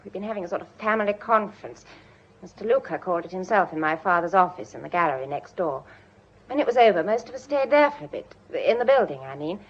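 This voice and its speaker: A woman's voice: fairly low pitch, lively intonation, quick pace, slightly rough, muffled recording. An actress playing a worried, well-bred British woman of the household in a radio drama. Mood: anxious, candid.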